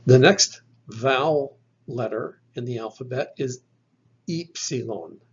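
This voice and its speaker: A man's voice: mid-range voice, slightly rough, dull audio. A man giving a recorded lecture on Greek pronunciation, methodically repeating each sound for the listener. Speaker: Greek language teacher.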